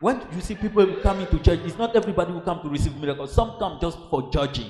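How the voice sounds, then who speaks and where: A man's voice: a little high, expressive, fast talker, darkish tone, soft background music. A man interpreting a sermon into English, following the preacher phrase by phrase. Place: large church hall. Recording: echoey church hall.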